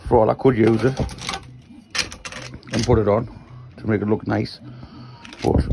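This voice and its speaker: A man from Newcastle with a Geordie accent and a croaky voice, casually talking through his workshop project while filming on a handheld phone in a small shed. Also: on the low side, little pitch movement, unhurried, background noise. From Newcastle, England. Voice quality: croaky, gravelly voice. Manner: rambling, explains while handling parts. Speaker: maker vlogger.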